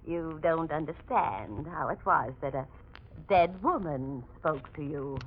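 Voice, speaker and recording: A woman's voice: low voice, animated, slow. A superstitious housekeeper who speaks of apparitions with solemn conviction. Her phrasing is old-fashioned and insistent, in the style of a 1940s radio mystery. The recording is muffled, with faint noise. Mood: eerie, solemn.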